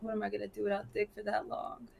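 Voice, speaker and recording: A woman's voice: medium pitch, monotone, fast, gravelly, noisy background. A woman playing a blunt, clingy young gangster's wife in an online role-play game, swearing in slangy street talk. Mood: clingy, brazen.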